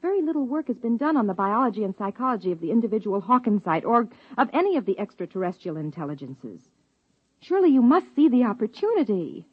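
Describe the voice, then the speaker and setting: An actress playing an earnest, persuasive scientist wife who also narrates, in 1950s radio drama style. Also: female voice, fairly high pitch, sing-song, quick pace, slightly rough, no room sound. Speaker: narrator and lead character. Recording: dull 1950s radio broadcast.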